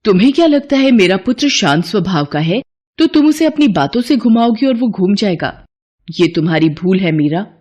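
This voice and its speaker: A woman's voice: mid-range voice, animated, moderate pace, darkish tone, close to an everyday voice. A woman playing a stern royal mother-in-law in a storybook tale, scolding and indignant. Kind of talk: acted storybook dialogue.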